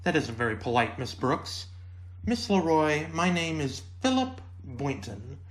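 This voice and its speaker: A woman's voice: sits low, big pitch swings, unhurried. A woman playing a polite, naive male biology teacher in a radio comedy, with an earnest, mild manner. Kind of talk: 1950s radio comedy acting.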